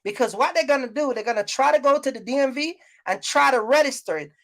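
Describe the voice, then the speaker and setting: A woman's voice: high-pitched, fast talker. A woman hosting a casual, unscripted advice vlog, speaking bluntly and repeating points for emphasis in a scolding way. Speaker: YouTube host giving trucking business advice. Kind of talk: casual unscripted rant to viewers.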